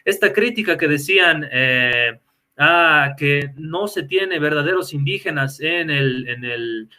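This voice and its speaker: A man from Bolivia, a guest in a live online interview, speaking in a measured, analytical way with frequent "eh" fillers. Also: fairly high pitch, expressive, sharp tone.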